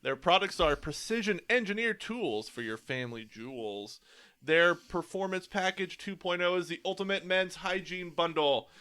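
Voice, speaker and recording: High bright guy voice on a podcast, really lively pitch, casual co-host talk. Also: moderate pace, clear, resonant tone. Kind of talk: casual chat and sponsor read.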